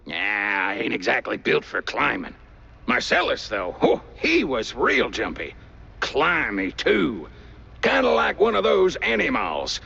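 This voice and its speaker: A man playing a dim, chatty cartoon game character with a gravelly voice and a rambling, stammering manner. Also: mid-range voice, wildly swooping pitch, measured pace, bright, forceful tone, muffled recording, exaggerated voice. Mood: rambling, goofy. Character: dim, chatty male cartoon henchman type.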